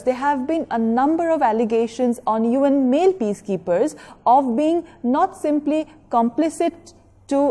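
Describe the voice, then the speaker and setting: A woman giving an educational lecture in a measured, explanatory way, stressing key words. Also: a little high, dark tone. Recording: long reverberant echo of a hall.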